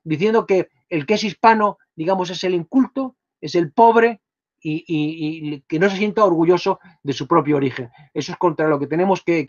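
A man's voice: high-pitched, muffled recording. A man in his sixties from Spain, talking in a long, expansive way on a video call from his home study. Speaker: speaker and host. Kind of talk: online video conference talk. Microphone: laptop webcam on video call.

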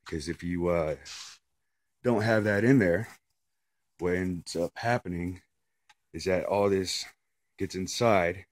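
A man's voice: low-pitched, slow, long pauses, dry recording, clean studio sound. A middle-aged man giving a casual how-to talk, plainspoken, often checking in with "okay" and "right". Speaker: fishing vlogger.

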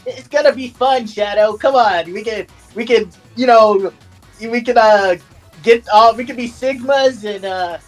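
Young, goofy cartoon hedgehog, played by a man, high-voiced and stammering, bantering over a video game. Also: a little slow, soft background music. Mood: eager. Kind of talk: comedic in-character gameplay banter.

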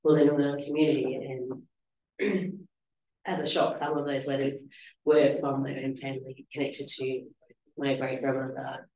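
A woman with an Australian accent and a soft, quiet voice, speaking gently and reflectively about her writing, with many small ums. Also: very deep voice, little pitch movement, measured pace, slight background noise. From Western Australia. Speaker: author reading and in conversation. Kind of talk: literary conversation and reading intro. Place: lecture room, seated on a small stage. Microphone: handheld mic held at chest. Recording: roomy lecture hall echo.

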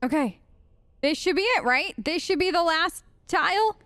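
A woman's voice: extremely high pitch, animated, normal speed, a bit bright, dry recording, clean studio sound. A woman doing casual, chatty role-play game commentary with a playful, wry manner. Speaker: gamer, let's player.

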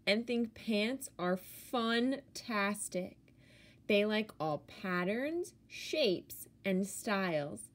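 A woman who is a teacher, reading a picture book aloud to children with expressive, theatrical emphasis on key words. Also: fairly high pitch.

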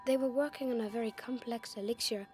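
A woman's voice: a little high, fairly even intonation, fast, mellow, slight background noise, natural voice. A performer with a woman's voice playing a bookish young alchemist's apprentice in a video game, careful and explanatory. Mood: grave, earnest. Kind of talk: dramatic video game acting. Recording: faint music behind the voice.

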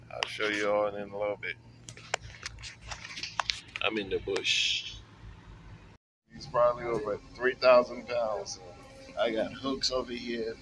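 Laid-back low-voiced man filming outdoors. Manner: laid-back, unhurried, talks to the camera. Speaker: mobile welder. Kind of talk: casual vlog narration while working. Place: outdoors on a work site, beside a truck. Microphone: handheld phone camera and wireless earpiece. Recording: outdoor phone recording with background noise.

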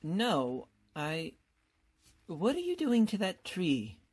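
Casting: actor as genial bumbling academic, swooping intonation, polite and pompous, radio comedy. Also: male voice, high voice, wildly swooping pitch, takes it slowly, breathy edge, full character voice. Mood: genial, courtly. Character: courtly, bumbling, genial middle-aged male college professor. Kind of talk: old-time radio comedy acting.

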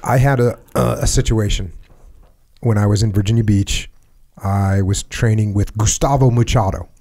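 A middle-aged man hosting a podcast in a dark studio, chatting casually and acting out his own past reactions. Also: fairly low pitch, moderate pace. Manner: animated storyteller, acts out his own reactions. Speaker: podcast host. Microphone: studio mic on boom arm, headphones.

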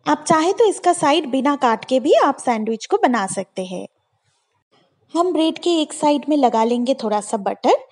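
High, lively woman cooking host. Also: normal speed. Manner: friendly, instructive, repeats steps clearly. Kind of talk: step-by-step recipe voice-over.

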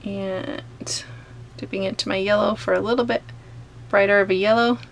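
A woman art teacher calmly talking through a step-by-step painting tutorial as she paints at a table. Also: medium pitch, vocal fry, muffled recording, a little hiss or hum. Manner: gentle, unhurried explaining while painting. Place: home studio, overhead camera on painting table.

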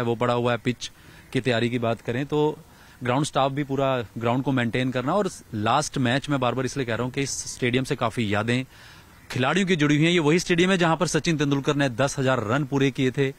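A man, reporting and interviewing as a sports journalist outdoors into a handheld microphone. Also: mid-range voice. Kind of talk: on-location news reporting and interviewing. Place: outdoors in a large empty cricket stadium.

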